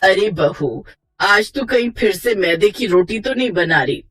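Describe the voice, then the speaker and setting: A woman's voice: mid-range voice, expressive, unhurried, fairly bright tone, slightly rough, pitched below the performer's own voice. A woman voicing a stern, sarcastic middle-aged mother-in-law who scolds in exasperation in a moral story. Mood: irritated. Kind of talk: acted moral story for children.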